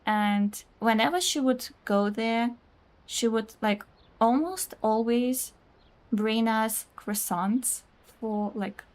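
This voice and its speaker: A woman's voice: fairly high pitch, fairly even intonation, slow, a little mellow. A young woman whose first language is Russian, chatting casually while streaming a game, rambling with many "like"s, reading out chat messages and laughing often.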